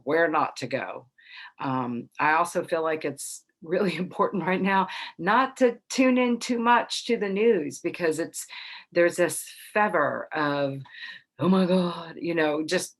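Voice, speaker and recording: A middle-aged woman hosting a casual astrology talk to camera, a little congested after being ill, chatty and relaxed with soft laughs. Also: deep voice. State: slightly congested after illness. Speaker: psychic astrology host.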